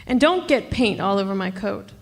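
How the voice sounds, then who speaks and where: A woman's voice: medium pitch, lively intonation, moderate pace, slight background noise, close to an everyday voice. A woman playing a jealous, domineering wife in an old-time radio drama, mocking and commanding with a menacing sweetness. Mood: sweetly menacing. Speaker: actress in a live radio play. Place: club hall stage. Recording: reverberant hall.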